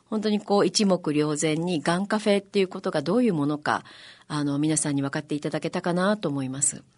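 Slightly low-voiced, hesitant woman guest. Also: darkish tone. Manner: polite, hesitant, pausing on filler words. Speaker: guest, amateur musical performer. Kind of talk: interview, explaining.

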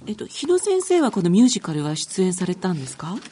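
A woman's voice: fairly low pitch, sing-song, fast talker, warm tone, slight background noise. A woman hosting a radio programme, politely interviewing and presenting in a conversational way. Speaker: radio show host.

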